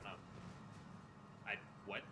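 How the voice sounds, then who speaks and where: A man's voice: takes it slowly, bright, forceful tone. A man chatting casually and playfully with his co-op partner during a video game, over noisy game-chat audio. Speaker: co-op gaming partner, streamer.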